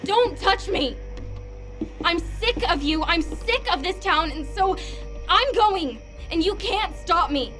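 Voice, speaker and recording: A high, girlish voice as a stubborn, wounded kid in a story game. She is sullen and blunt, with faint music behind her. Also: expressive, slow, exaggerated voice. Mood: angry, defiant. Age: child character. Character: defiant, wounded young girl, fed up and stubborn. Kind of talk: dramatic game voice acting.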